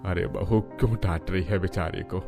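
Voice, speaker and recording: A man's voice: deep voice, normal speed, darkish tone, soft background music, close to an everyday voice. A man playing a kindly older father-in-law of a poor family in a cartoon story. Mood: gently chiding. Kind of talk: Hindi cartoon story voice acting.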